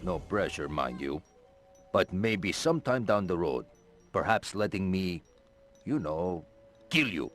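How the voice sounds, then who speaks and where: Menacing game guard: very low, unhurried, deadpan politeness with swinging intonation. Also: male voice, faint music behind. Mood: coyly menacing. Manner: hesitant, polite phrasing of threats, deadpan. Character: menacing, bloodthirsty gate guard, dimly matter-of-fact. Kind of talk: video game cutscene acting.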